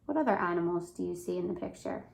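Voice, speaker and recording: A woman's voice: fairly low pitch, lively intonation, fast talker, vocal fry, darkish tone. Woman reading aloud to young children. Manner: instructive, asks questions, explains words. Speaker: teacher reading a picture book aloud. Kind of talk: children's story read-aloud with questions.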